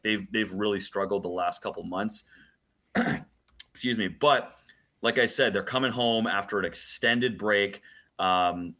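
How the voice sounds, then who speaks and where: A man with a slightly low, bright and forceful voice works as a sports announcer. He is a guest on a radio show, laying out detailed analysis with emphasis.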